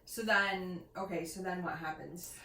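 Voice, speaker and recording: Lowish-voiced woman chatting in an echoey room. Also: fairly even intonation, a little slow, bright, forceful tone. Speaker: co-host of a book review channel. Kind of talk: casual chatty book discussion. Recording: echoey room with faint background noise.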